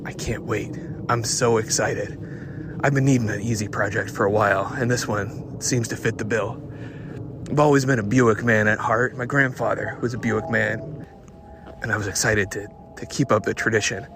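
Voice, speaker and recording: A man, a hot rod hobbyist, musing to himself in a personal audio diary with halting, wistful, repetitive phrasing. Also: fairly low pitch, fairly even intonation, background noise.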